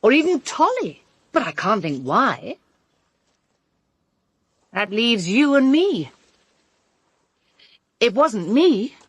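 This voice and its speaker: Brittle British woman, vividly animated. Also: a little high, takes it slowly, pauses often, slightly rough, dry recording, full character voice. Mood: urgent, speculating. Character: brittle, sharp-tongued woman suspect, candid and bitter. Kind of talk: full-cast radio drama dialogue.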